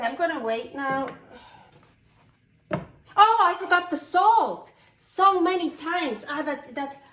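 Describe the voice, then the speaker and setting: A woman's voice: sits high, a bit bright. A woman from Switzerland with a Swiss-tinged Californian English accent, chatting casually and rambling in a self-deprecating way as she cooks in her home kitchen. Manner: rambling, self-deprecating, exclaiming as things go wrong. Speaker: cooking vlog host. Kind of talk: casual unscripted kitchen chat while cooking. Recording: roomy, distant mic, faint noise.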